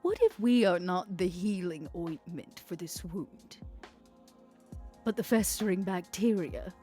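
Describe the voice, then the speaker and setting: A woman playing a scheming, smooth-talking vampire crime boss in a tabletop roleplay, with a sly, theatrical, deliberate manner. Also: fairly low pitch, mellow, soft background music, natural voice. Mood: sly, persuasive.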